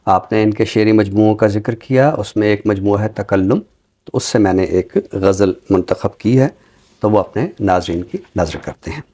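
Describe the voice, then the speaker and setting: A middle-aged Pakistani man speaking in a formal, deliberate way, as a literary commentator on a talk show. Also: lowish voice, mellow. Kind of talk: reflective commentary and poetry recitation on a literary show.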